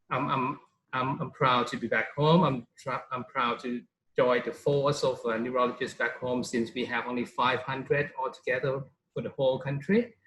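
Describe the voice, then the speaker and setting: A man's voice: medium pitch, a bit bright, roomy sound, slight background noise, rough recording. A middle-aged man from Thailand, a neurologist answering interview questions with frequent "you know" fillers and restarts.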